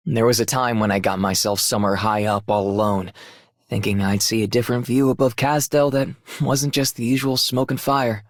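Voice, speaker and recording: A man playing a wistful, gentle character in scripted video game voice lines. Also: mid-range voice, steady tone, normal speed, dry recording, clean studio sound, lightly acted.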